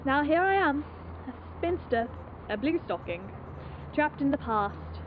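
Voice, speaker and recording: A woman's voice: sits high, expressive. A young woman playing an intense, repressed aristocratic spinster haunted by her youth, in a theatrical confessional monologue. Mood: bitter, wistful. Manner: theatrical, confessional, deliberate phrasing. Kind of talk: dramatic monologue. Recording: tinny band-limited noisy amateur film sound.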